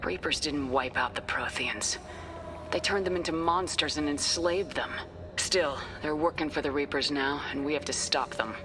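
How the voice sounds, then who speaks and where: An actress playing a resolute, grim military starship commander in a video game, with a clipped, decisive manner. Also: female voice, low-pitched, normal speed, noisy background, close to an everyday voice. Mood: grim, shaken.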